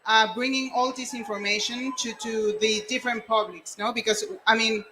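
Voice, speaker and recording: Bright-voiced earnest woman, noisy event. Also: a little high, steady tone, normal speed. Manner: earnest, searching for words. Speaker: scientist panelist. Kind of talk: spontaneous panel talk.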